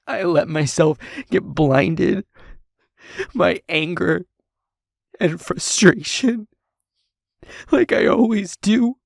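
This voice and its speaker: An actor playing an anguished, self-blaming survivor in an audio drama, with a husky, gravelly voice and a halting, raw delivery. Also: medium pitch, dry recording, clean studio sound. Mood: anguished outburst. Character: anguished, self-blaming, angry and guilt-ridden survivor, apologetic. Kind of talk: emotional audio drama monologue.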